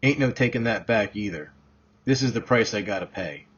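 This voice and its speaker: A man voicing a resigned, plain-spoken country fellow in an audiobook, husky and matter-of-fact. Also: lowish voice, moderate pace, fairly bright tone. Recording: dull, muffled lo-fi recording.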